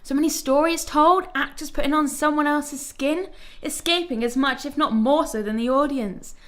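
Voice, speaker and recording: A woman's voice: high-pitched, moderate pace, lightly acted. An actress playing a quirky young woman in a radio drama, a playful, dreamy free spirit who speaks in a theatrical, teasing way. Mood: enchanted.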